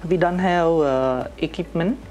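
A man's voice: on the high side, darkish tone. Male interviewee, Cambodian accent, hesitant delivery, faint music bed. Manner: halting. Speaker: interviewee, trainee. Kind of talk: interview answers. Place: indoor office room.

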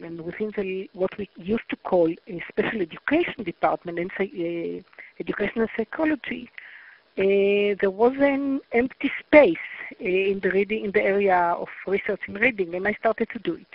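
A woman's voice: medium pitch, dry recording. A middle-aged Israeli woman with an Israeli accent, a reading researcher answering a phone interview hesitantly, with many restarts. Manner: hesitant, halting with restarts and fillers. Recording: muffled phone line.

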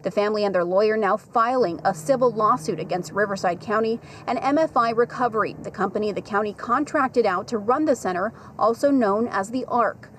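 A woman field reporter delivering a live TV news report outdoors with a handheld microphone, in a measured, clear broadcast manner. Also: mid-range voice, a little hiss or hum. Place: outdoors at a rehab facility at dusk. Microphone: handheld station mic.

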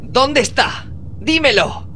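A man's voice: very high-pitched, lively intonation, takes it slowly, pushed and bright, exaggerated voice. A man playing an anxious, determined young fantasy hero in a Castilian Spanish video game dub, speaking in short exclamatory phrases. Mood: urgent, demanding. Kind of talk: dramatic video game dub, talking to himself. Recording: clear background noise under the voice.

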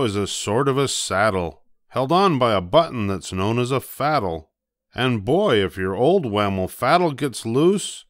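An older man reading a rhyming children's storybook aloud, expressively, with playful emphasis and drawn-out words. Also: medium pitch, a bit bright, no echo, clean studio sound. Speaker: storybook reader.

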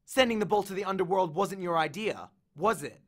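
A man's voice: sits high, expressive, a little fast. A man voicing an earnest, defiant young boy hero with a blunt, accusing way of talking, as an audiobook character. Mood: challenging.

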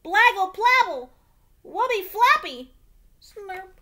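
A woman's voice: very high-pitched, sing-song, takes it slowly, bright, forceful tone, voice pushed up, heavily acted. A woman voicing a fussy toddler girl who babbles in frustration, in a storybook reading. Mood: insistent babbling.

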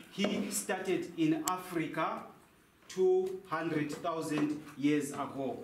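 A young man working as a tour guide, giving a guided cave tour talk. He explains things by asking a question and then answering it himself. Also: on the high side. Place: large cave, indoors. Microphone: handheld mic, camera a few meters away. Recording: echoey cave, faint noise.

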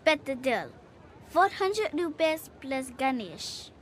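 A woman's voice: high-pitched, very animated, takes it slowly, a little hiss or hum, exaggerated voice. A woman playing a plucky young girl street vendor in a video game, with an Indian accent and a cheeky, persistent haggling manner. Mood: confident, cheeky. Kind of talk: cinematic video game acting. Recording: clear outdoor street ambience behind.

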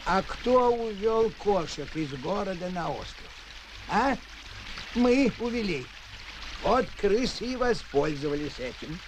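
An actor voicing a sober, sensible little cartoon gnome with a polite, measured, matter-of-fact manner. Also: male voice, high-pitched, lively intonation, noisy background. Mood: regretful.

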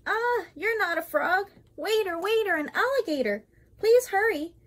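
A woman's voice: very high voice, lively intonation, unhurried, fairly bright tone, pitched above the performer's own voice, exaggerated voice. A woman voicing an exasperated, panicky male restaurant diner in a children's storybook, exclaiming and pleading urgently. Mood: panicked.

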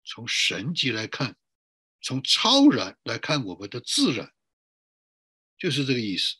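Animated, husky-voiced male pastor preaching. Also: medium pitch, measured pace, no room sound, studio quality. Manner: emphatic, repetitive listing. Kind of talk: sermon, teaching with rhetorical questions.

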